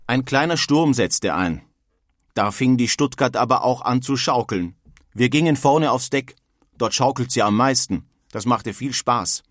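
A man's voice: medium pitch, animated, unhurried, dry recording, clean studio sound, close to an everyday voice. An actor playing an earnest nineteenth-century emigrant, reading his letters home in a dramatized style. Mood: lightly amused. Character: earnest male 19th-century German emigrant writing home.

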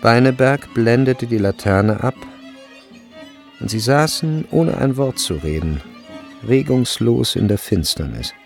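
A man giving measured, deliberate narration in a literary radio drama. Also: mid-range voice, expressive, vocal fry, faint music behind.

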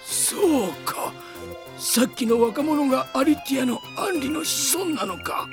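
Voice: high-pitched, animated, a little slow, over music. An actor voicing a cranky, theatrical old man of an ancient dragon tribe in a radio drama, speaking in a pompous, archaic way. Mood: musing, regretful. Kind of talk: Japanese radio drama acting.

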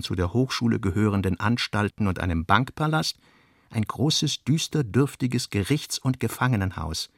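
A man giving a measured, articulate literary narration with deliberate phrasing. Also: mid-range voice, no room sound, clean studio sound.

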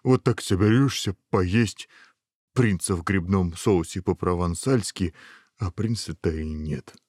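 Giant man-eating gourmet ogre done by a man, low homely voice, coaxing manner, dry storybook read. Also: moderate pace, darkish tone, dry recording, close to an everyday voice. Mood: disappointed.

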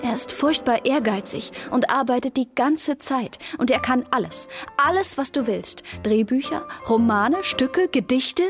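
Young bohemian woman, radio drama, fairly high voice, effusive, wheedling charm, light music behind. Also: lively intonation, a little slow, few pauses. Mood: gushing.

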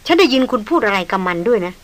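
A woman putting on an excited young noblewoman from a storybook. She asks her questions eagerly and breathlessly, in a high, bright voice. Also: rapid-fire. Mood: curious.

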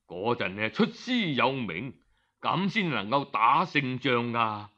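Male narrator as canny Cantonese minister, earnest persuasive counsel, drops below his narrating pitch, bright edge, lo-fi. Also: big pitch swings, slow pace, full character voice. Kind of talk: storytelling character voice. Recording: dull, lo-fi audio.

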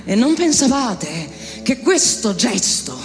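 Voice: medium pitch, very animated, slow. An actor delivering a theatrical monologue as an outraged, bitterly scornful accuser, declaiming in rhetorical questions. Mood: indignant, mocking. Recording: long hall reverb, music underneath.

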